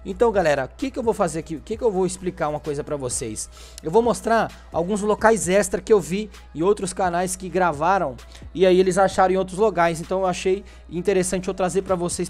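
A Brazilian man giving casual game tutorial commentary, informal, often tagging sentences with little check-in questions. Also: high-pitched. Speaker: gaming video host. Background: background music.

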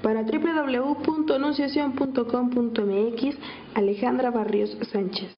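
Higher-voiced Mexican woman, echoey sound. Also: fairly even intonation, normal speed. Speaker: interviewer, reporter. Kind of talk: interview questions and presenter narration. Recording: dull, echoey, faint noise.

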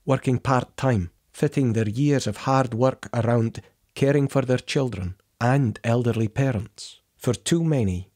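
A man giving a measured, indignant scripted documentary narration as a voice-over. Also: mid-range voice, darkish tone.

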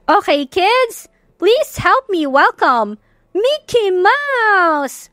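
A cheerful Filipina party host, acted by a woman narrator calls out to children in an audiobook story. Her voice is raised high, with long drawn-out announcing words and a dry sound. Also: big pitch swings, a bit bright, dry recording, exaggerated voice. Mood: excited announcing.

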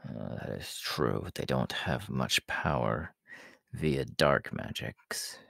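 Man acting a very deep, gravelly demon king. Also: fairly bright tone, no echo, clean studio sound, exaggerated voice. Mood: weary, haughty. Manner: slow, deliberate, haughty, often sinking into breathy whispers. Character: proud, menacing, world-weary demon king, ancient and male. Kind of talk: ASMR audio roleplay acting.

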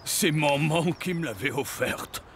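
An actor voicing a tough aging biker gang leader in a cartoon, gruff yet sentimental about his mother. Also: on the high side, measured pace, a bit bright, slight background noise. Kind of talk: French cartoon acting.

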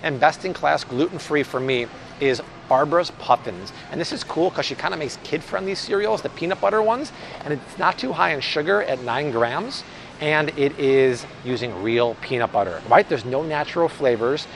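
Upbeat American man over clear store noise. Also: fairly high pitch, expressive, normal speed. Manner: upbeat, enthusiastic, chatty. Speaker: host of a grocery food review vlog. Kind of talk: casual enthusiastic product review to camera. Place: large supermarket aisle, indoors. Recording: clear store ambience and hum.

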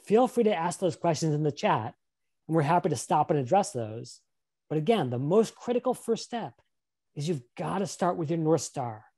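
A man's voice: a little high, animated, normal speed, dark tone. A man presenting a career webinar over a video call, upbeat and encouraging, often putting questions to a volunteer. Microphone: webcam on a video call.

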